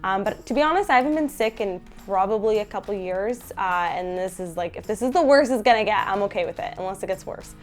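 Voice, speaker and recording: A woman's voice: mid-range voice, animated, fast, few pauses, soft background music. A young Canadian woman with a stuffy, nasal voice from a head cold, chatting casually in her bedroom about groceries. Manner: chatty, upbeat, many fillers. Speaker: food vlogger. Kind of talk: casual haul video chat. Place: bedroom at home.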